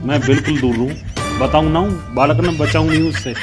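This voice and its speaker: A man with a rather high, raised voice, loud and scolding as a gym owner in a comic sketch. His lines are rough and joking. Music plays clearly under him. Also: a little fast. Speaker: gym owner selling injections. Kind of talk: comic sketch in village dialect.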